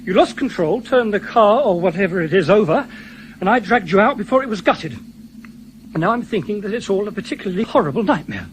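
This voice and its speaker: An actor playing a helpful but bewildered camper in a radio play, incredulous and plain-spoken. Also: male voice, high-pitched, expressive, fairly quick. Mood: disbelieving. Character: bewildered, helpful male weekend camper who acts on radio. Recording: noisy 1970s radio drama recording.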